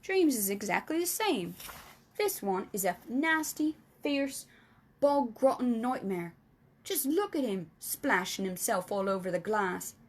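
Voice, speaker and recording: A woman voicing an earnest, excitable male giant in a children's storybook, with emphatic, folksy, muddled speech. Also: on the high side, measured pace, husky, exaggerated voice. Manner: emphatic, folksy mangled grammar. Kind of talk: children's storybook character reading.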